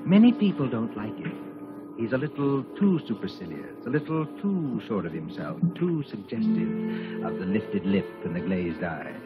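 A man as an ominous, deep-voiced radio suspense narrator. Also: lively intonation, lightly acted. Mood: ominous, measured. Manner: solemn, measured, theatrical. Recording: dull old 1940s radio broadcast. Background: loud music.

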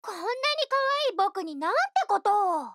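A woman's voice: extremely high pitch, animated, moderate pace, a bit bright, no echo, studio quality. A woman voicing a cute, cheeky young child stage magician for a Japanese video game, with playful, boastful, theatrical showman patter. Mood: indignant, cheeky.

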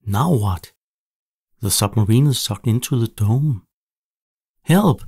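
A man narrating a children's bedtime story in a measured, animated storytelling delivery. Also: lowish voice, slightly rough, no echo, studio quality.

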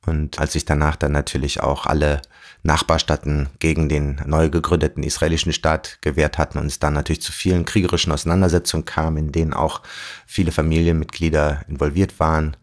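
Very deep-voiced man telling his story. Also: flat delivery. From Germany. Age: 40s. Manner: thoughtful, with frequent fillers and pauses. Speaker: guest. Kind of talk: reflective storytelling.

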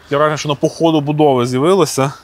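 A man's voice: on the high side, fairly even intonation. A man hosts a casual walking interview, enthusiastic and playful. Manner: enthusiastic, playful, quick remarks. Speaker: vlog host. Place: rooftop outdoors, then indoor hall.

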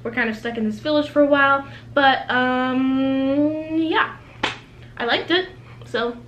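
A roomy, echoing recording with faint noise carries a young woman's fairly high voice. She speaks in the informal, chatty style of a book vlogger, conversational and unscripted. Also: measured pace, muffled recording. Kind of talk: casual chatty video blog.

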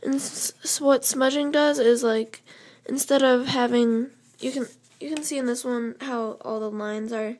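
A young woman with a slightly hoarse, fairly high voice walks through a drawing lesson step by step. Her delivery is casual and loose, full of fillers and quick self-corrections. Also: steady tone, darkish tone. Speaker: drawing tutorial host. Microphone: camera over the drawing paper, speaker off frame.

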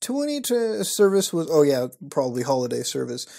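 A man's voice: a little high, big pitch swings, a little fast, creaky, mellow. A young man hosting his show from a small room at night, reacting to websites with wry, profane commentary. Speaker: host. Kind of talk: casual commentary reading websites.

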